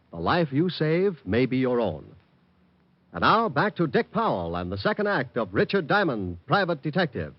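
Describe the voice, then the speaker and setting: An American man announces in formal 1940s radio style. His pitch moves in lively swings over a medium base, with some roughness to the tone. The vintage broadcast recording sounds a little dull. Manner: formal, measured.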